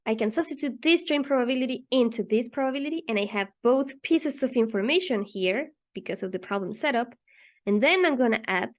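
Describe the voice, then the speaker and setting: A woman teaching, methodically explaining a math problem step by step as she writes it out, with rhetorical questions along the way. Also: on the high side. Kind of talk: explaining a math problem while writing on paper.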